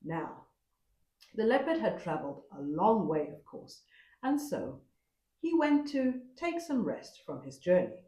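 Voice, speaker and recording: A woman storyteller narrating a folktale expressively, with a dramatic, deliberate manner. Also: on the low side, very animated, warm tone, reverberant, rough recording.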